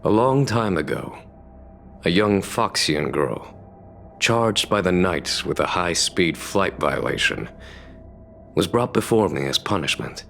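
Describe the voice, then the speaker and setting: A wise, courteous game commander with a deep voice. He speaks formally and takes his time over each phrase, measured and deliberate. Music plays clearly behind him. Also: lively intonation, a bit bright, exaggerated voice. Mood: wistful, reflective. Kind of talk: video game dialogue acting. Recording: background music under the voice.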